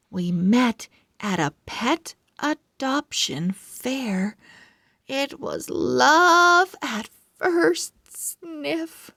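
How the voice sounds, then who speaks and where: A woman voicing a proud, excitable little male pug telling his diary in a children's storybook, with dramatic, earnest phrasing. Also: high voice, gravelly, dry recording, studio quality, exaggerated voice. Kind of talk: children's storybook character narration.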